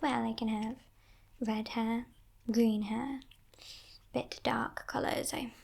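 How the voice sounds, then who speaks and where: A woman voicing a playful, menacing female demon in a breathy, teasing whisper for an ASMR roleplay. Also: a little high, steady tone, full character voice. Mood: breezy, condescending. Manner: teasing, condescending, softly sinister, unhurried.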